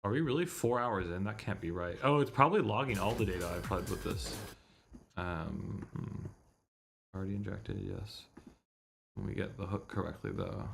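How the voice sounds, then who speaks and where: A man's voice: sits low, normal speed, gravelly, faint music behind. A man streaming a visual novel, chatting casually and muttering mildly exasperated asides. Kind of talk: casual livestream chat.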